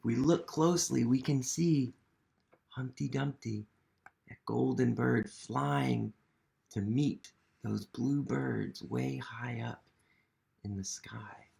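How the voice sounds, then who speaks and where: Slow creaky-voiced guy doing a kids read-aloud, soft, asks lots of questions. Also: mid-range voice. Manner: gentle, asks children questions, drops to soft whispers. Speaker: museum storytime reader. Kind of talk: children's picture-book read-aloud.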